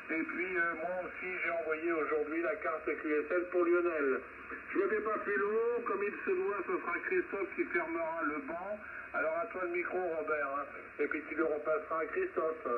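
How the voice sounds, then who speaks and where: Noisy radio transmission, phone-like band, man, slightly high pitch, ham operator, explanatory. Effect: two-way amateur radio transmission. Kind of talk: ham radio round-table chat. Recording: noisy, distant, tinny radio link.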